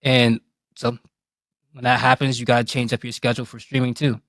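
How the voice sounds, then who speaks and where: A man in a casual interview, talking candidly and reflectively in colloquial language. His voice sits at an ordinary male pitch. The sound is dry, with no room around it. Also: flat delivery, brisk, fairly bright tone, no echo. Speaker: guest, game streamer.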